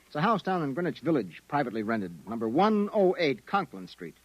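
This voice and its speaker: An actor with an American accent playing a brusque, wary private investigator in 1950s radio drama style, giving orders in slangy, commanding speech. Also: male voice, fairly high pitch, very animated, brisk, no echo, dull audio. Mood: businesslike.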